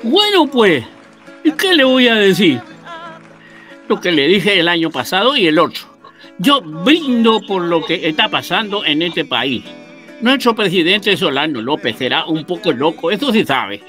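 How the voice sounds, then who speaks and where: An actor playing a proud old Paraguayan villager who makes a rousing toast in a radio drama. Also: male voice, high voice, very animated, a little slow, bright, forceful tone, faint music behind, heavily acted. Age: older. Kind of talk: radio drama acting over video call.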